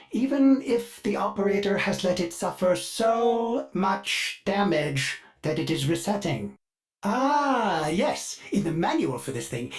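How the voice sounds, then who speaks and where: An actor playing a courteous, doting male ship AI who speaks of himself in the third person, with glitchy stutters, through a synthetic filter. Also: high voice. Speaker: ship's onboard assistant. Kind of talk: video game voice lines.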